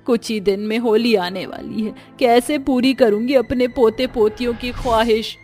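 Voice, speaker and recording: A woman's voice: on the high side, steady tone, normal speed, a little mellow, soft background music, lightly acted. A woman voicing a poor, humble and weary old grandmother who works as a housemaid in a story. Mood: pleading, sorrowful.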